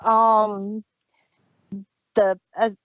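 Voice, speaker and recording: A woman's voice: medium pitch, flat delivery, extremely slow, dry recording, lo-fi sound, faint music behind. A woman hosting a casual audio drama review, explaining things in detail like an enthusiastic fan. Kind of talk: casual group discussion.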